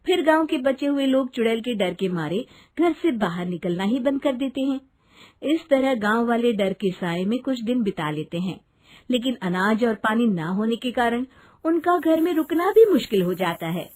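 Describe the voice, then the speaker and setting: A woman's voice: a little high, animated, normal speed, darkish tone. A woman narrating a scripted children's story.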